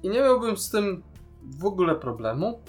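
A man's voice: sits high, very animated, normal speed, soft background music. A man co-hosting a casual podcast discussion, giving opinionated, critical commentary. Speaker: podcast co-host.

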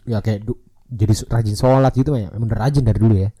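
A man's voice: fairly low pitch, fast talker, warm tone, husky, no echo, clean studio sound. A young man with a Jakarta Indonesian accent, bantering casually in a teasing, jokey way. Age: young adult.

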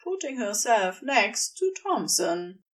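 A woman's voice: a little high, sing-song, slow pace, distant mic. A woman reading fiction aloud as a scripted story narrator. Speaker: audiobook narrator.

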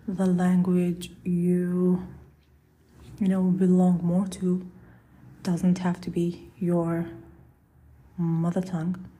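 A young woman whose first language is Arabic, hosting a solo podcast in a soft, often breathy and half-whispered, rambling way. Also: on the low side. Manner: relaxed, rambling, with fillers and restarts. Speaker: podcast host.

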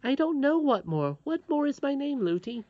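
A woman acts a little princess in a storybook. She is earnest, affectionate and full of questions, with a high, swooping childlike delivery. The sound is dry and a bit dull. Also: very animated, normal speed, no echo. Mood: curious, polite. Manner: childlike, pleading. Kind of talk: storybook read aloud.

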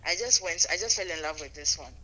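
A woman's voice: on the low side, lively intonation, fast talker, pushed and bright, rough recording. A woman chatting casually as a caller. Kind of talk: casual chat. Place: on the other end of a phone call.

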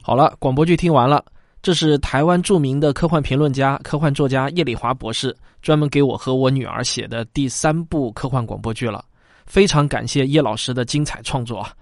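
A man's voice: mid-range voice, fairly quick, creaky, no echo, clean studio sound. A man hosting an audio show, speaking to listeners in a presenter's scripted delivery. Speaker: show host. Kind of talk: warm scripted outro to listeners.

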